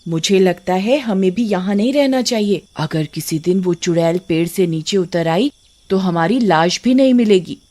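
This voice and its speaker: A woman voicing a frightened, nagging village wife in an animated horror story, anxious and pleading. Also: lowish voice, normal speed, natural voice. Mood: worried, scolding.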